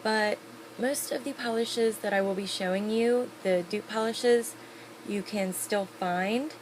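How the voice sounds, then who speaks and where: A woman's voice: mid-range voice, measured pace, a little hiss or hum, low-quality recording. Chatty upbeat woman reviewing products. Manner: chatty, upbeat, rambling with fillers. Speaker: beauty vlogger.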